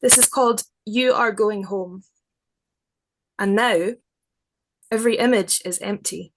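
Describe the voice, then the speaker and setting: Slow, deliberate woman poet over noisy audio. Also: mid-range voice. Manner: deliberate, careful phrasing with literary vocabulary. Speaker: poet reading own work. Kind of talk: measured poetry reading and reflective explanation.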